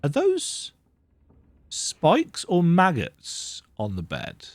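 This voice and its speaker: Man, slight cold, chatty and self-deprecating, lively pitch, unhurried. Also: no room sound. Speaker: gaming video host. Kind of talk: casual let's-play commentary. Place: dark room at home with lights off.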